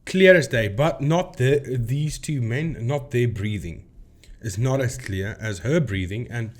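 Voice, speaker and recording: A man's voice: medium pitch, a little slow. A man hosting a debunking video, explaining his points emphatically and repeating phrases for stress as he walks through a demonstration. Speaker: video host debunking paranormal claims. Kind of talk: explanatory commentary with screen demonstration.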